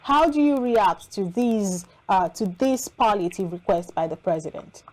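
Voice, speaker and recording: A woman's voice: mid-range voice, lively intonation, normal speed, a little mellow, poor audio. A woman with a Nigerian accent hosting a morning news programme as its presenter and interviewer. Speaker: TV news show host.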